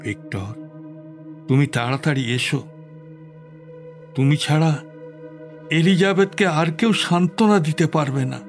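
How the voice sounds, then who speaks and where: Grieving father played by a male actor, higher-set male voice, very slow and solemn, loud music underneath, audio drama. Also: close to an everyday voice. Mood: sorrowful. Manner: slow, solemn, mournful delivery with pauses. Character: grieving, heartbroken father reading out his sorrowful letter. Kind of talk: Bengali audio drama acting.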